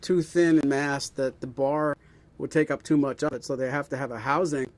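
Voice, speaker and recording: A man's voice: mid-range voice, steady tone, moderate pace. A man co-hosting a casual stream, loosely recalling details in an easygoing way. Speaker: co-host. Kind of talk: casual chat.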